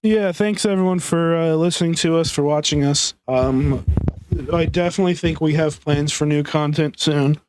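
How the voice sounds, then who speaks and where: A man's voice: fairly high pitch, normal speed, noisy background. A man hosting a casual podcast chat, sick, his voice starting to scratch, laid-back and swearing freely. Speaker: podcast host.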